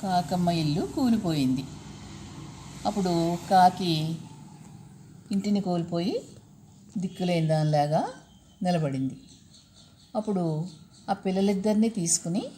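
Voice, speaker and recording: Female storyteller for kids: lower-pitched, instructive, repeats key words and checks in with questions. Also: measured pace, pauses often, dark tone, a little hiss or hum. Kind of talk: children's storytelling.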